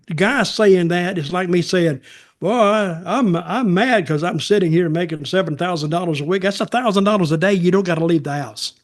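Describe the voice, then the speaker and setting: A man co-hosting a podcast, giving casual opinions in a blunt, sarcastic, folksy way. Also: sits high. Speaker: podcast co-host, wrestling commentator.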